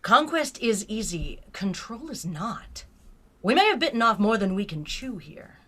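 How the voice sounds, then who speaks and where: A woman playing a guarded, commanding starship captain in a science fiction audio drama, with a terse, deliberate manner. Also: mid-range voice, big pitch swings, a bit bright. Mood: guarded, firm.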